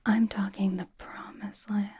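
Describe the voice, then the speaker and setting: A woman playing a cocky young female pop idol in an ASMR roleplay, whispering breathily with halting, teasing, dramatic emphasis. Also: mid-range voice, level tone, mellow, husky. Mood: reverent, grandiose.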